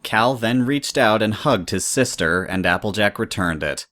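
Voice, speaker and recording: A woman's voice: rumbling low, animated, moderate pace, fairly bright tone. A woman reading scripted third-person story narration as the narrator.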